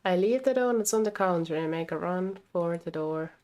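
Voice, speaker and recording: A woman's voice: fairly low pitch, normal speed, darkish tone, dull audio. A woman game streamer reading a visual novel aloud expressively and breaking off to comment, with game music behind her.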